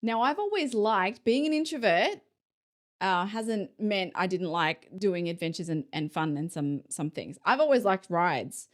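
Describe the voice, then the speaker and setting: A woman's voice: mid-range voice, animated, unhurried. A woman in her forties hosting a casual solo podcast, chatty and self-deprecating, laughing often between her thoughts. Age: 40s. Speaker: podcast host.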